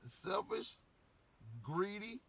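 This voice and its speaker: A man ranting casually to camera, a little hoarse from losing his voice, rambling with lots of "you know" and swearing bluntly. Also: high-pitched, big pitch swings, drawn-out words, a bit bright. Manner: rambling, repeats words, frequent "you know". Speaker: vlogger giving opinions.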